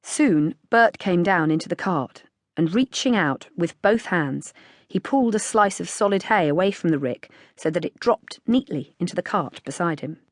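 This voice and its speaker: A British woman reads a short story in measured literary narration. Her voice sits a little low for a woman, and the recording is dry and close. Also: expressive, dry recording. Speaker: audiobook narrator.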